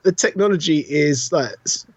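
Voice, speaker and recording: Higher-voiced British man, dry and slightly dull recording. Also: expressive, normal speed, dry recording. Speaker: podcast co-host. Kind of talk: casual chat.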